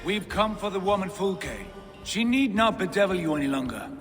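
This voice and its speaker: High-pitched, swinging, imperious Norse warrior lord. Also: male voice, expressive, moderate pace, a bit bright, soft background music. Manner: imperious, grandiose. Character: proud, impatient Norse warrior lord, obsessed with godly destiny. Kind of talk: video game cutscene acting.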